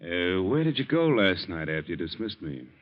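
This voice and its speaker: A muffled vintage radio recording carries the very deep voice of a sly chauffeur. He insinuates and threatens coolly, in slangy phrases, like a blackmailer who knows he holds the cards. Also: big pitch swings, moderate pace. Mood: insinuating. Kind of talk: old-time radio drama acting.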